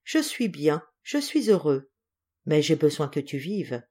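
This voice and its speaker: A woman's voice: sits low, big pitch swings, a little slow, mellow, full character voice. A woman voicing a menacing, feverishly exultant husband possessed by evil in a dramatic story reading. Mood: coaxing, ominous. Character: possessed husband, feverish, menacing, gloating over cursed violin.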